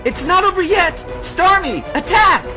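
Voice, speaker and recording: A man voicing a frantic, gutsy young girl who pleads and yells. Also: very high-pitched, lively intonation, a little slow, music in the background, voice pushed up. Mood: urgent, exasperated.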